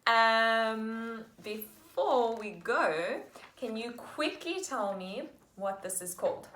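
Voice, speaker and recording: Patient female teacher for a kids' lesson, slow encouraging delivery, roomy sound. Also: on the high side, a bit bright. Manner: encouraging, praising, sounds words out slowly. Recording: echoey room.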